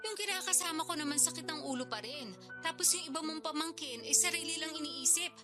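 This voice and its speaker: Music plays under a very high female voice with a Filipino accent. An actress plays a pushy big sister from the province in a radio drama. She needles, complains and wheedles for money. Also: brisk, natural voice. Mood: pleading, wheedling. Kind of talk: Tagalog radio-drama phone dialogue. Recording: music bed behind the voice.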